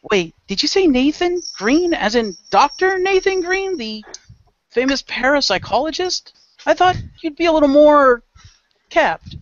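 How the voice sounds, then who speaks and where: A young woman reporter acted out by a game master in a role-play, high-pitched and starstruck, with a doubtful edge. The sound is dry and slightly dull. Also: expressive, unhurried, no room sound.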